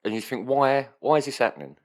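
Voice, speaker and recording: A man's voice: medium pitch, big pitch swings, fast. A man, co-hosting a podcast in casual, rambling banter full of swearing and rhetorical questions. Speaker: podcast co-host.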